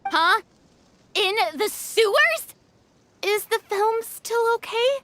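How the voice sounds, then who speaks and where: A woman playing a theatrical, high-spirited young film director in a video game, dramatic and commanding. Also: animated, takes it slowly, fairly bright tone, heavily acted. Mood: anxious. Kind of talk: animated video game voice acting.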